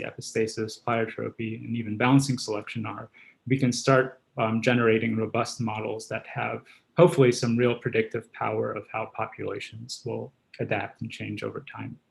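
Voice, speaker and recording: Creaky-voiced male academic presenter. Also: on the low side, flat delivery, a little fast, low-quality recording. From Guyana and Trinidad. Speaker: academic researcher, job candidate giving a seminar.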